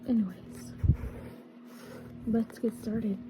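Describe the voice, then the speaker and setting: A woman with a slightly high voice reads a fairy tale in an unhurried ASMR style, her words drawn out slowly. She sometimes drops to a whisper and corrects her own small slips. Clear background noise sits under the recording. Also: steady tone. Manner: slow, careful reading with small slips and self-corrections. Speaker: ASMR reader. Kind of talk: soft ASMR storybook reading.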